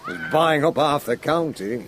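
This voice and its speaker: A man's voice: a little high, lively intonation, measured pace, a bit bright, faint music behind. An actor playing a deferential but guarded local man in a radio drama, terse, dour and evasive. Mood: dour, knowing.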